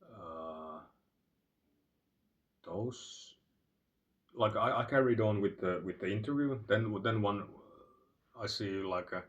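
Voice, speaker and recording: A Finnish man with a Finnish accent, telling a long digressive story in a halting way with many fillers and restarts. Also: lowish voice, recorded from a distance, dull audio. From Finland. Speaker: channel host. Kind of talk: rambling storytelling monologue. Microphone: phone.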